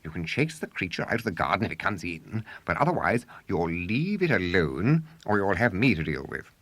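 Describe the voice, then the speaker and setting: A man's voice: sits low, sing-song, normal speed, a bit bright, dry recording, heavily acted. A man voicing a stern, weary middle-aged English father in a children's storybook reading. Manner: grim, admonishing.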